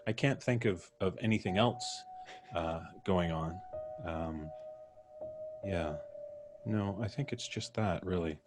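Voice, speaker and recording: A man with a fairly low voice acts as host, speaking casually and with playful wryness. Music plays clearly behind his introductions and banter. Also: slow, long pauses. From Canada. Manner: jovial, playfully wry.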